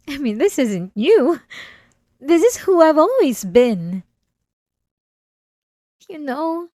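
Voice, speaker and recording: Slow, high-voiced possessive wife, acted by a woman. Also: darkish tone, no room sound, studio quality. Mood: taunting, accusing. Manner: halting, repeating words, coaxing and manipulative. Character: obsessive, possessive, delusional adult wife, sweetly menacing. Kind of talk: intimate ASMR roleplay monologue.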